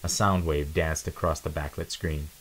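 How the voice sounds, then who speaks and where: A man with a low-pitched voice reads as a storybook narrator. His delivery is dramatic, shaped like an adventure tale read aloud. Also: steady tone, normal speed, fairly bright tone.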